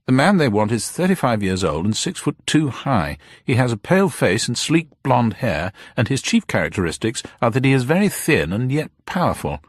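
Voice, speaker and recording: Man voicing a probing, composed plump man, husky. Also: medium pitch, big pitch swings, moderate pace, hardly any pauses, no echo, clean studio sound, voice pushed up. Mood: insistent, probing.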